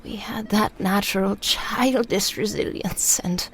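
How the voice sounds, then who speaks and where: A woman playing a frail elderly dying mother in an audio drama, with a breathy, creaky voice, slowly and haltingly recalling her childhood. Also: mid-range voice, a bit bright, a little hiss or hum. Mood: hushed, reminiscing. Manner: slow, halting, reflective storytelling. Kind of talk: intimate audio drama monologue.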